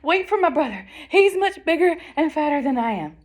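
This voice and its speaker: A woman's voice: very high voice, fairly even intonation, a little slow, a little mellow, voice pushed up. A woman voicing a timid young male billy goat from a storybook, trembling and stammering. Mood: frightened, pleading. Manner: stammering, quavering.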